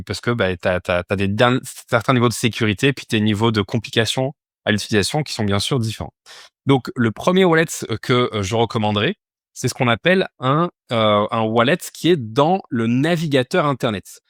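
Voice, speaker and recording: A man's voice: mid-range voice, a bit bright, dry recording, studio quality. A man giving an informal step-by-step tutorial as an instructor, explaining things and often posing questions that he then answers. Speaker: instructor teaching a crypto finance course.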